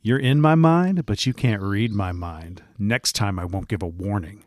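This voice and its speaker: Man playing a surly, low-voiced secret agent. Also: normal speed, close to an everyday voice. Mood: bitter. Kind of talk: audio comedy drama acting.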